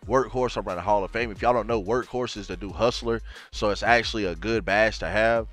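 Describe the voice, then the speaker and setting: A man's voice: lowish voice, brisk, a bit bright. Stuffy-nosed young man, laid-back gamer talk. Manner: laid-back, addresses viewers as y'all, fillers like man and bro. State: stuffy from a cold. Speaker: gaming YouTuber. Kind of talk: casual game walkthrough. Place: home gaming setup, gaming chair.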